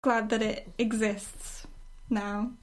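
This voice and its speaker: A woman talking casually and reflectively as a writer discussing her work, often halting as she thinks aloud. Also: mid-range voice, level tone. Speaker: writer discussing her own storytelling project.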